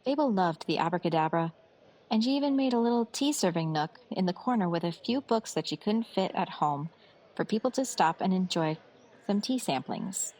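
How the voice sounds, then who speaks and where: A woman's voice: on the low side, animated, moderate pace, darkish tone, low-quality recording. A woman narrating a scripted fantasy story as the storyteller of an audio drama.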